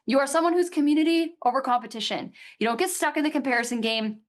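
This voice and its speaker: A woman co-hosting a live online business workshop, direct and emphatic as she pitches a coaching program. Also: fast. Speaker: workshop co-host. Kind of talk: live webinar sales pitch.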